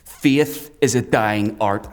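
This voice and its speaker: An actor playing a menacing, fanatical hunter in a dark fantasy audio drama, speaking in a deliberate, taunting, theatrical way. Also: male voice, lowish voice. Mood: gloating, taunting. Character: menacing male fanatical hunter, coldly articulate, mocking.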